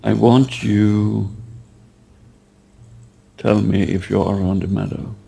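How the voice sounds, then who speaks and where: Slow, gravelly low-voiced man guiding meditation. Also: fairly even intonation. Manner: halting, unhurried, gently instructing. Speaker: guided meditation practitioner leading a session. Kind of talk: slow guided meditation with questions.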